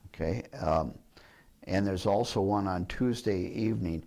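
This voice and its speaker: A man's voice: low voice, a little slow, creaky, a little mellow. An older man leading a church service, giving casual announcements with a joking manner. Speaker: service leader. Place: church sanctuary.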